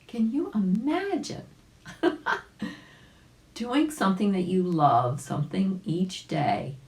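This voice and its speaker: Female children's story reader, low register, very lively intonation, chatty with listeners, roomy recording. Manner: chatty, addresses listeners directly. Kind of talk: storybook read-aloud with chatty asides. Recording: roomy, echoing home recording.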